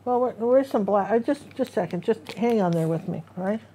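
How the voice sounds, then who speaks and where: A woman's voice: mid-range voice, animated, a little fast, dark tone, a little hiss or hum. Lady painting teacher chatting away on a live stream, rambly, keeps trailing off. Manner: chatty, rambling, self-interrupting. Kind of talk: casual live painting demo.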